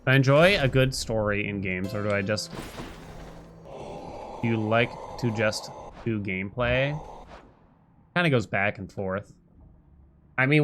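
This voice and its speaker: A man's voice: mid-range voice, a bit bright, a little hiss or hum. A man giving casual live gameplay commentary, thinking aloud, chatting with viewers and reading bits of on-screen text. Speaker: game streamer talking to live chat. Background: game music.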